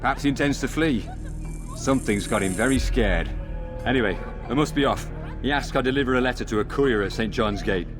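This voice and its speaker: An actor playing a dutiful, gossiping underling in a video game, speaking matter-of-factly. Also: male voice, mid-range voice, fairly quick, over music, lightly acted. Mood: confiding. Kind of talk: video game cutscene acting.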